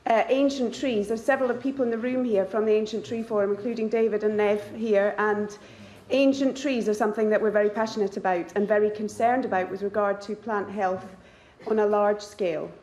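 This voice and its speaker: A woman's voice: mid-range voice, brisk. Chatty woman presenting in a hall. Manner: chatty, self-deprecating, lively asides. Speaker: nature conservationist speaker. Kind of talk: conference talk. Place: lecture hall. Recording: roomy hall sound.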